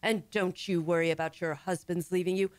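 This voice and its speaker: A woman's voice: on the low side, fairly even intonation, a little fast, no room sound, close to an everyday voice. A woman playing a self-assured, bossy, meddling matron with lofty, condescending emphasis in an old-time radio comedy. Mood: lofty, reassuring.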